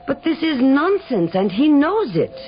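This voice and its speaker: An actress playing a haughty, defiant film star in a radio drama, with an arch, imperious manner. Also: female voice, sits high, big pitch swings, a little slow. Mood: disdainful. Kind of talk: 1970s radio drama acting. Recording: muffled old radio recording with music.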